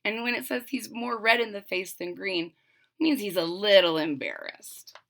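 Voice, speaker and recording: A woman's voice: medium pitch, normal speed, vocal fry, fairly bright tone. A woman reading a children's story aloud, narrating expressively and emphatically and adding simple explanations. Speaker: storybook reader.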